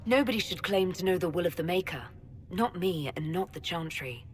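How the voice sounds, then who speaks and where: An actress playing an earnest, level-headed young female elven mage, calm and direct, in a video game. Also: on the low side, moderate pace, in a large hall, natural voice.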